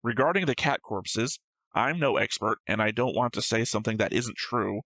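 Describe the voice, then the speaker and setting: A man's voice: on the low side, moderate pace, fairly bright tone, no echo, low-quality recording. A man hosting a reaction show, reading out notes and discussing them casually in a matter-of-fact, slightly pedantic way.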